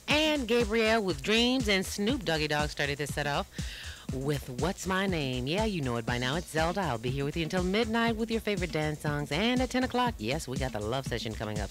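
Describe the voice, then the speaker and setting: An American woman working as a radio DJ, chatting playfully and teasing herself between songs and updates in music radio patter. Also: sits low.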